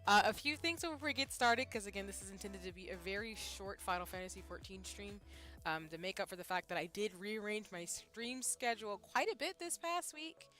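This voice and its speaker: A woman streamer with a bright tone and a slight hoarseness in her voice. She chats with her viewers in an upbeat, rambling way, full of fillers. Faint background music sits under her voice. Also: medium pitch, animated, fairly quick. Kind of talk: casual stream chat.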